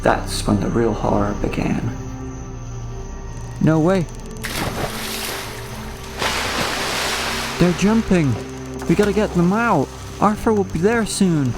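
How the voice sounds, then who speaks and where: A man's voice: high-pitched, expressive, measured pace. An actor playing an anxious, impulsive man in a horror audio drama, speaking with dramatic intensity. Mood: urgent, defiant. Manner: urgent, dramatic. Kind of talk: horror audio drama dialogue and first-person narration. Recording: music behind the voice.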